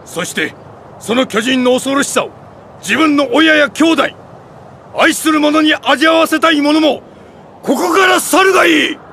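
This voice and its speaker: A man playing a stern, composed old army commander in an anime game, delivering deliberate, commanding oratory. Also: very high voice, bright, forceful tone, slight background noise, heavily acted. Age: older. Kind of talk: dramatic anime game acting. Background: music.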